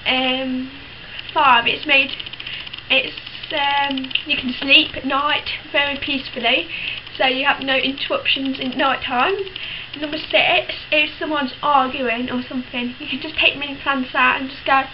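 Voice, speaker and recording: A woman's voice: high voice, little pitch movement, unhurried. A young woman in her teens talking casually to camera, frank and chatty, with lots of fillers and restarts. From United Kingdom. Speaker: vlogger. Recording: dull lo-fi sound with clear background hiss.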